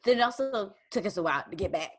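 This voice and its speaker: A young woman co-hosting a podcast, chiming in excitedly as she tells a story. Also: medium pitch, quick pace. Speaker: podcast co-host. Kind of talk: casual storytelling chat.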